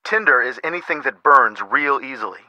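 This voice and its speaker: Sharp-voiced, wry young friend. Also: male voice, mid-range voice, lively intonation, a little fast, dry recording, muffled recording. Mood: wry, helpful. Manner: wry, questioning. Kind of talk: scripted video game dialogue.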